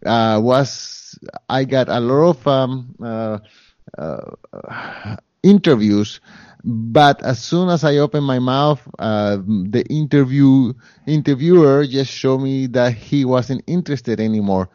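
A man's voice: mid-range voice, slow pace, darkish tone, no echo, dull audio. A man with a Spanish accent, a guest in an interview, talking earnestly with many fillers and restarts. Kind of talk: interview conversation.

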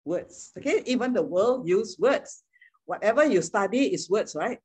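A woman's voice: medium pitch, animated, normal speed, a little mellow, breathy edge. A woman teaching a sermon, who keeps checking in with the listeners with "okay?" and "right?" and chuckles often between points. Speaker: Bible teacher.

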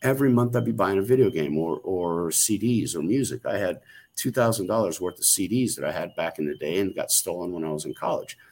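A man's voice: low-pitched, animated, fairly quick, darkish tone. A middle-aged man talking on a podcast in a casual, blunt way, swearing freely and restarting his sentences. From United States. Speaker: podcast host. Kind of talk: casual chat.

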